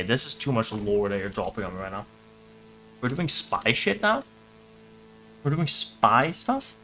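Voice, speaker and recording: A man's voice: medium pitch, a bit bright, a little hiss or hum, poor audio. A man doing a casual livestream reaction, reading captions aloud and commenting in a joking, irreverent, sweary way. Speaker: streamer reacting to horror videos.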